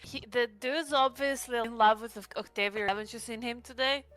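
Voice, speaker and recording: Bright, clear woman at a game table. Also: on the high side, brisk. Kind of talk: casual in-game roleplay chat. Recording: music behind the voice.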